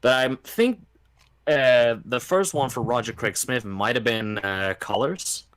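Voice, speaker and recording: A man chatting casually and giving animated, rambling, opinionated takes with mimicked quotes. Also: medium pitch, a little slow. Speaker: podcast guest or panelist. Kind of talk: casual opinionated chat about video games.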